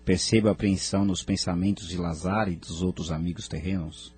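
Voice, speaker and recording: Actor as solemn spirit man, extremely husky, deep, Brazilian accent, perceptive, audio drama. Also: fairly even intonation, brisk, darkish tone. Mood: concerned.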